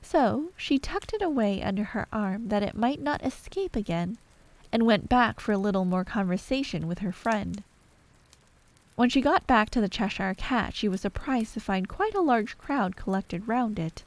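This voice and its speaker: A woman's voice: medium pitch, expressive, moderate pace, no echo, poor audio. A woman reading scripted bedtime storybook narration in an ASMR style.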